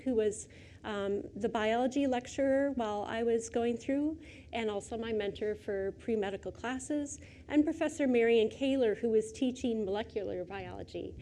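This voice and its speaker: A soft-spoken middle-aged woman giving a public lecture in a lecture hall, chatty and personal. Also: fairly high pitch, normal speed, slight background noise. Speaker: guest lecturer. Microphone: lecture microphone.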